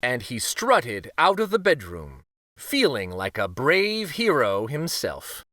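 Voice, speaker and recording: A man narrates a picture book for children with a very animated, swooping delivery. His voice is bright and closely recorded in a dry space, and he takes his time. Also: medium pitch, takes it slowly, no echo. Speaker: storyteller, read-aloud host.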